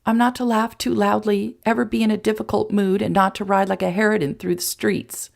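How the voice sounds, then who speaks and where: A woman reading an injured, anxious young woman who speaks softly and often near a whisper. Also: mid-range voice, level tone, fairly quick, gravelly, pitched above the performer's own voice. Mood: quiet, bitter. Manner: soft, strained, often whispery.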